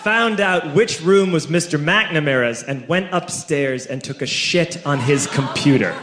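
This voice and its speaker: A man with an American accent telling a story as stand-up comedy, in a theatrical, emphatic way with deliberately drawn-out punchlines. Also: on the high side. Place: live comedy venue with an audience. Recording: echoey live stage sound with audience noise.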